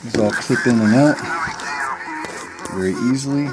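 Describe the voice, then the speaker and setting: A man's voice: medium pitch, moderate pace, music in the background, rough recording. A man casually explaining his home-built gear in a plain, matter-of-fact way, recorded indoors at home. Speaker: paraglider showing his gear. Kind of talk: casual product demo.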